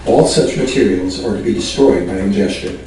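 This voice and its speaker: Male announcer: lowish voice, deadpan official delivery, heavy room echo. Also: fairly quick. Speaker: theatre announcer. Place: theatre auditorium. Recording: very echoey, distant theatre sound.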